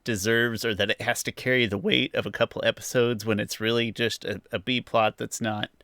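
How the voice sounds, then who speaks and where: A man's voice: lowish voice, little pitch movement, brisk, sharp tone, no room sound, clean studio sound. A man co-hosting a podcast, chatting casually and with opinions about a TV show. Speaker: podcast co-host. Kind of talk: casual TV review chat.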